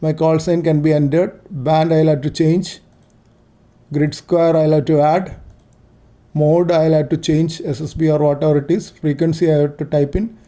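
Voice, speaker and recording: A man narrating an unscripted screen-share tutorial, explaining things in short, halting, step-by-step phrases. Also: fairly high pitch, level tone, warm tone. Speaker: amateur radio operator giving a software demo.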